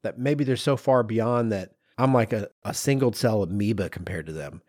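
A man's voice: on the low side, normal speed, darkish tone, dry recording, clean studio sound. A man co-hosting a podcast in casual chat, rambling through hypotheticals and acting out imagined bits of dialogue. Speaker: podcast co-host.

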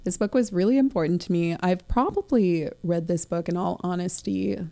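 A woman in her late twenties chats about books in a casual, digressive way. Her voice sits a bit low and sounds dark and mellow, with a slight crackle. She drops in dry, self-mocking asides as she goes. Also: normal speed, gravelly. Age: late 20s. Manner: chatty, digressive, wry. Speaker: podcast co-host. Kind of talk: casual book discussion.

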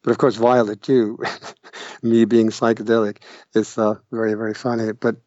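A man's voice: fairly low pitch, level tone, normal speed, a little mellow, no room sound, lo-fi sound. An older man from Norway, a guest musician, telling stories casually with a hesitant, wry manner and many fillers. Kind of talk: casual interview storytelling.

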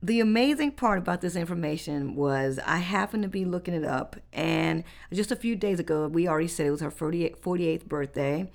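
A woman's voice: lowish voice, fairly quick, gravelly, hardly any pauses. A woman hosting a casual podcast, reading out facts in a chatty, conversational way. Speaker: podcast host. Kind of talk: casual chat.

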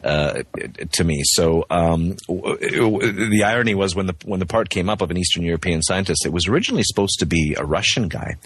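A man's voice: sits low, brisk, hardly any pauses, breathy edge, dry recording. A man calling in for an interview, talking in a friendly way with many restarts. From Canada, West Coast. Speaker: actor, interview guest. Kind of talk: casual interview over the phone. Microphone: remote call.

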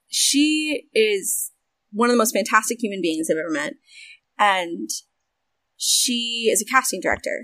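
A young woman from the United States chats animatedly and laughs often. She speaks with quick asides. The recording is dry and close, with no room sound. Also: mid-range voice, a bit bright, dry recording, poor audio. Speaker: voice actor and game developer. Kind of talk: casual interview chat.

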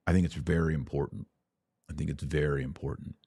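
An American man hosting a podcast, giving conversational commentary in an emphatic way with deliberate phrasing and pauses. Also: a bass voice, fairly even intonation, dark tone, husky, dry recording, studio quality. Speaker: podcast host. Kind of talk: conversational commentary answering listener questions.

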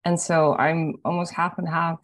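A woman's voice: low-pitched, flat delivery, normal speed, dry recording. A woman from Poland and Ireland hosting a podcast interview, encouraging her guest and restarting her questions as she goes. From born in Poland, raised in Ireland. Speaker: podcast host. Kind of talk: conversational interview.

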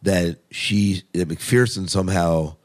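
A man's voice: low voice, slow, darkish tone. A man hosting a podcast in casual discussion, reacting openly and putting leading questions to his co-host.

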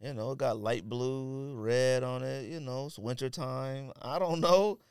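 A man's voice: medium pitch, a little slow, a little mellow, smooth, no echo, studio quality. A man co-hosting a podcast, chatting casually in a slangy, offhand way about his picks. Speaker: podcast co-host. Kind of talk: casual sneaker and sports podcast banter.

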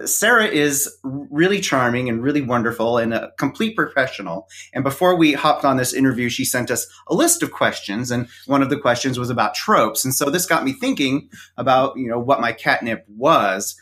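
A man's voice: mid-range voice, a bit bright. Hesitant middle-aged man. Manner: hesitant, many fillers. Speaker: podcast co-host, interview guest. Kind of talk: casual conversation.

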